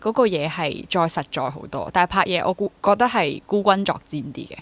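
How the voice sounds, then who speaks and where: Lower-pitched young Hong Kong woman, reflective. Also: expressive, brisk. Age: 20s. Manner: mixes English words into speech. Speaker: interview guest, freelance stage actor and drama teacher. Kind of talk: casual reflective interview talk.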